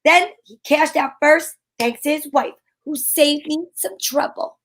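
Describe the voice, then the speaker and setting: A woman playing a sharp-witted, wisecracking private detective in an old-time radio drama, talking in breezy slang. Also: high-pitched, a little slow. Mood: wry, explaining.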